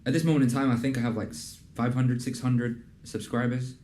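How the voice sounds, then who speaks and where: A young man hosting a casual interview and pitching his program in an earnest, conversational way, with plenty of fillers. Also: steady tone, fairly quick, mellow, slight background noise. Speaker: host and program founder. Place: in a building in a city business district.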